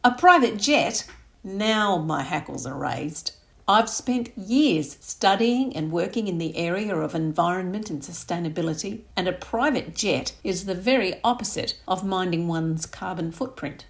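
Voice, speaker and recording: Woman narrating, slightly low voice. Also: moderate pace, creaky. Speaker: author reading aloud. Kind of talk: expressive audiobook narration of a romantic comedy.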